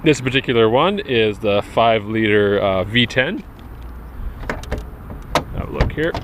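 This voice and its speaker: A fairly low-voiced man speaks slowly and haltingly, filling gaps with "uh" as he talks through a car in a casual vlog. He is recorded outside, with a faint hum of street noise behind him. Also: expressive. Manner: hesitant, with frequent "uh" pauses. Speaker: car importer vlogger.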